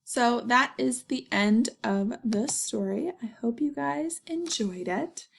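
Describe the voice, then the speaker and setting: A woman reading a children's story aloud and pausing to put questions to her young listeners. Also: fairly high pitch, gravelly, husky.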